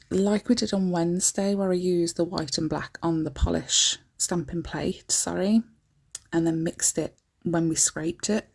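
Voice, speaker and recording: A woman in her thirties with a croaky, groggy voice, chatting informally through a nail art tutorial. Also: fairly low pitch, a little mellow. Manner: chatty and informal, breaks off often to correct herself.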